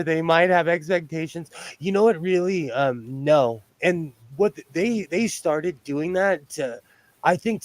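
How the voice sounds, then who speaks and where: Loud, high-voiced man chatting and laughing. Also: no room sound. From lives on the West Coast of the US. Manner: rambling, laughs often, restarts sentences. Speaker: sewing livestream host. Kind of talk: casual chat while sewing.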